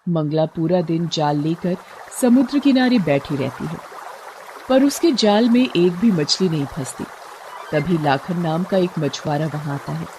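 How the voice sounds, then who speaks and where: A woman's voice: low voice, animated, measured pace, noisy background. A woman narrating a cartoon moral story in a dramatic, emphatic way.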